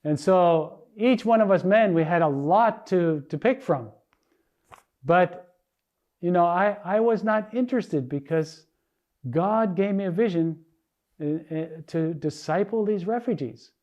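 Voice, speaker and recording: An older man from the United States preaches in an earnest storytelling way, often restarting his sentences. His voice is a little high for a man and mellow in tone. The recording sounds dull and lo-fi. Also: animated, a little slow. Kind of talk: sermon testimony.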